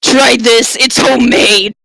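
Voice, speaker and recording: A woman's voice: a little high, steady tone, measured pace, vocal fry, pushed and bright, no echo, voice pushed up, heavily acted. Woman putting on a gushing, flirty bull-woman. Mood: flirty, coy. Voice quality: brawny, muscular tone. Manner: coy, gushing, teasing. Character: buxom flirtatious female Tauren, a giggly bull-woman.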